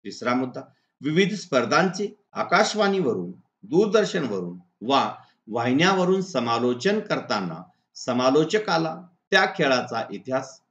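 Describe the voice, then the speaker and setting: A man teaches as he reads out model exam answers in a deliberate, instructional way, point by point. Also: medium pitch, sing-song, a bit bright. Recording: echoey room, dull lo-fi sound.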